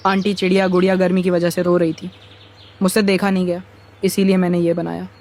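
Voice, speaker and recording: A man's voice: high-pitched, monotone, brisk, darkish tone. A man voicing an earnest, polite young orphan boy crow in a children's cartoon. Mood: earnest, grateful. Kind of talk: animated children's story acting.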